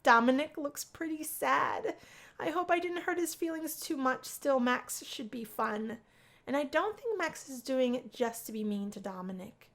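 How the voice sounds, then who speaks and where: Higher-voiced woman narrating a visual novel. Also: lively intonation, normal speed, fairly bright tone. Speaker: let's play reader.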